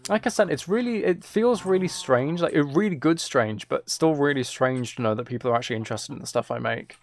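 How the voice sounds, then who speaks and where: A man's voice: on the high side, brisk, slight background noise. A man chatting casually as he streams a game, rambling along in a self-deprecating way. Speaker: live streamer. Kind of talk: casual gaming livestream chat, commentary.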